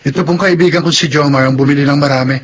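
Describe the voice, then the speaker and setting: A man's voice: fairly high pitch, steady tone, rapid-fire, a little hiss or hum, close to an everyday voice. An actor playing a helpful, respectful young provincial man in a Filipino drama, speaking politely and earnestly. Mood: concerned. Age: young adult. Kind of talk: Filipino radio-style drama acting.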